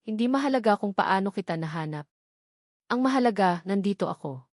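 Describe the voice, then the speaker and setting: Voiced by a woman, a remorseful young man speaks softly and tenderly, close to a whisper, in a romance storybook. His voice is dry and close, with no room sound, and his tone is gentle. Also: mid-range voice, expressive, brisk, dry recording, lightly acted. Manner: soft, near-whispered.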